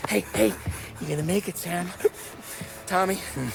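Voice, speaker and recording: Brash, wisecracking gangster. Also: male voice, high-pitched, moderate pace, creaky, low-quality recording. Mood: urgent, worried. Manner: slangy, brash tough-guy talk. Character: wisecracking, hot-headed male gangster with a crude streak. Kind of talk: dramatic acting in a crime game cutscene.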